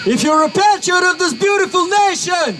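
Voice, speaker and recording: A young man with a Russian accent addresses an outdoor rally crowd, his voice pitched high and rising to shouts as he gets worked up. Noise hangs clearly behind him throughout the speech. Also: normal speed. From Uzbekistan. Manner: energetic, builds to shouts. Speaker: rally speaker. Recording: noisy outdoor crowd recording.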